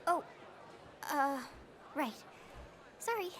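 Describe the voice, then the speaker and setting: A high, youthful female voice for an eager, geeky video game character. She is informal, bubbly and chatty. Faint ambient noise sits behind her. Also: animated, extremely slow, some chatter behind, full character voice. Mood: sheepish. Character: excitable, geeky young female mech enthusiast, eager and chatty. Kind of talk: English video game cutscene acting.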